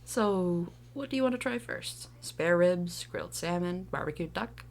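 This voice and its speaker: A woman's voice: fairly low pitch, expressive, measured pace, darkish tone. A woman playing a casual, chatty modern city dweller in a drama.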